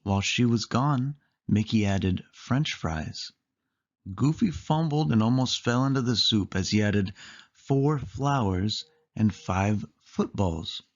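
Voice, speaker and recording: A man's voice: fairly low pitch, takes it slowly, dull audio. A man narrating a children's picture book aloud, leaning emphatically on key words. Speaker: storybook reader.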